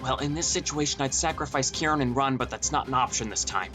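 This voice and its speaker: A man's voice: medium pitch, fast talker, bright, forceful tone, faint music behind, close to an everyday voice. A man voicing a modest, socially anxious young swordsman in an audiobook, with a wry, hesitant manner.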